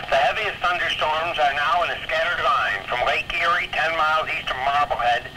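An American man reading scripted weather observations as an announcer on an old radio broadcast. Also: on the high side. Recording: very noisy 1979 weather radio recording.

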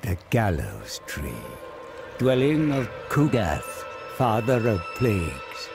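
A man playing a cunning old wizard in a game cinematic, grave, dramatic and deliberate. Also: fairly low pitch, sing-song, heavily acted. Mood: grave, conspiratorial. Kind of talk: video game cinematic narration and dialogue. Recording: loud music under the voice.

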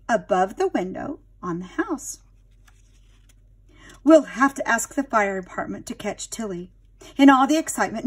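A woman reads a children's storybook aloud with a high voice and lots of lift and fall. She goes slowly and expressively, as a teacher does with young listeners. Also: darkish tone, slightly rough. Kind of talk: children's picture book read-aloud.

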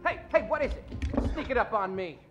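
Guy doing a streetwise bum, quick blunt patter, old radio play, faint music behind. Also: extremely high pitch, animated. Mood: gruff, wary. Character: streetwise, scrappy male vagrant. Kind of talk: 1940s radio acting. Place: live stage before standing radio microphone.

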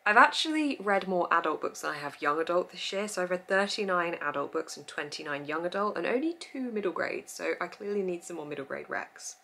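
Young woman, book vlogger; low-set, bright voice with gravelly fry; chatty, laughing to camera. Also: expressive, fairly quick, few pauses. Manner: chatty and upbeat, laughs often. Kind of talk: casual chat to camera. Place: home room with full bookshelves.

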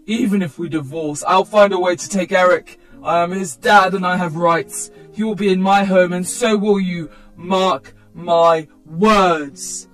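A woman voicing a threatening, controlling ex-husband in a story, forceful in manner. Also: on the low side, fairly even intonation, measured pace, few pauses, soft background music, lightly acted. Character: menacing abusive controlling ex-husband.

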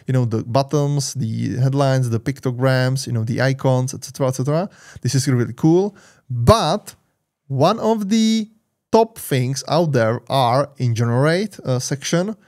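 A man in his thirties with a Czech accent, hosting a screen-share software walkthrough in a loose, rambling way with many fillers. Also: mid-range voice, lively intonation, unhurried. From Czech Republic. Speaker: tech channel host. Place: home studio with purple lights. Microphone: large studio mic on arm.

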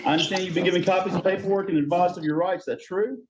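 A middle-aged man speaking as a judge in a brisk, procedural hearing over a court video link. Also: sits high, steady tone, background noise.

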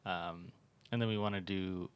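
A man in his thirties co-hosting a podcast in a small studio on a boom mic, joking and laughing in relaxed chat. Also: normal speed, clean tone. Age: 30s. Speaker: podcast co-host, brewery owner. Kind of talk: casual chat. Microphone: large studio mic on boom arm.

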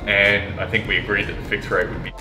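A man's voice: fairly low pitch, flat delivery, fast. A man in casual business conversation, talking in a chummy, informal way as a startup team member. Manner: chummy, informal, says mate and buddy. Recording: roomy, distant mic, music behind.

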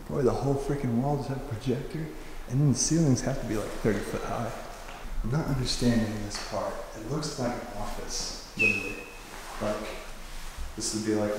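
A young man in his twenties from Oklahoma, casually narrating as he explores an empty house, excited and slangy, laughing often. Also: on the low side, a little hiss or hum. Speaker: urban explorer vlogger. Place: large empty unfinished house, echoing rooms. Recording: very echoey empty rooms.

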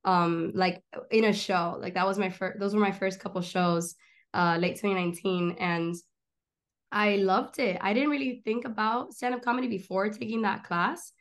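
Chatty young woman on a video call. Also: medium pitch. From born in Miami, raised in Argentina. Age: 20s. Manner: animated, gestures a lot, rapid run-on storytelling. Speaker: guest, comedian and filmmaker. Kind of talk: casual video-call interview. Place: small room at home, indoors. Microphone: laptop webcam on a video call.

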